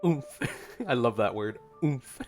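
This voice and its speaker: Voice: mid-range voice, expressive, slow, darkish tone. A gameplay streamer giving casual, playful reaction commentary. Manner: playful, giggly, echoes words. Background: game music.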